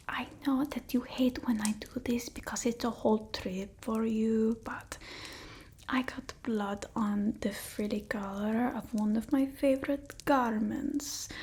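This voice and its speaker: A woman voicing a haughty centuries-old female vampire, breathy and often whispering, in an ASMR roleplay. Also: on the high side, dark tone. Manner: broken old-world English, dramatic and haughty. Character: theatrical female vampire, centuries old, bored, demanding, scornful of humans.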